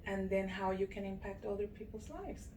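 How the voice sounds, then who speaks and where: A woman's voice: mid-range voice, moderate pace, reverberant, slight background noise. A woman hosting an interview show, asking her guest long, winding questions. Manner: warm welcoming, asks long rambling questions. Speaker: show host and realtor. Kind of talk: interview host.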